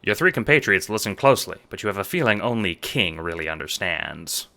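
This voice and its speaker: A man's voice: deep voice, big pitch swings, a little fast, gravelly, pushed and bright. A man narrating a scripted audio drama in the second person, with dramatic storytelling delivery.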